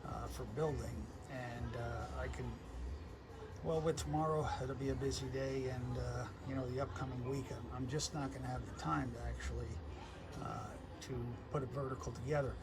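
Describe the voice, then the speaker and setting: A man with a medium-pitched voice talks casually as a ham radio operator. He is easygoing and halting in his delivery. The recording is made outdoors on a porch, with noticeable noise around him. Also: fairly even intonation. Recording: noisy outdoor recording with ambience.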